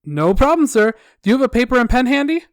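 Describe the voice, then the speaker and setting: Guy doing a sugary sweet call center rep, high and chirpy, super polite, storytime bit. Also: big pitch swings, fast, smooth, dry recording, studio quality. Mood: sickly sweet. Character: relentlessly cheerful, sugary male customer service rep.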